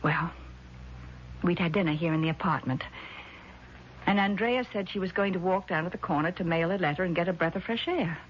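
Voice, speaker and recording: An actress playing a composed, doubting sister in a 1970s radio drama, measured, patronizing and patiently insistent. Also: female voice, lowish voice, lightly acted. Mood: confiding, concerned. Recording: muffled vintage radio broadcast, faint noise.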